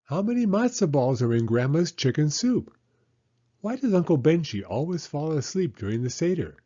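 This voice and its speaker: A man voicing an excitable, curious little girl in a storybook reading. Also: on the high side, moderate pace, lo-fi sound, pitched above the performer's own voice. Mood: eager, curious.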